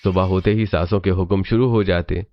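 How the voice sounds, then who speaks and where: A man's voice: low voice, fairly even intonation, brisk, no echo, dull audio. A man reading scripted story narration.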